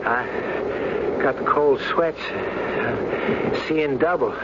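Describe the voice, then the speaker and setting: A queasy wry copilot, old radio drama, slangy grumbling, lots of hiss and roar, muffled. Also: male voice, mid-range voice, very slow. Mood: queasy, weakening. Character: airline co-pilot, wry, edgy, feeling queasy. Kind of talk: 1970s radio drama acting.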